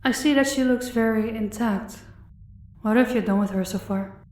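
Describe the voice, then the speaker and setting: A woman's voice: a little high, moderate pace, darkish tone, recorded from a distance, natural voice. A woman playing a sadistic crime boss in an audio roleplay, condescending and politely menacing as she toys with her captive. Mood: mocking, cold. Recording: echoey room, away from the mic.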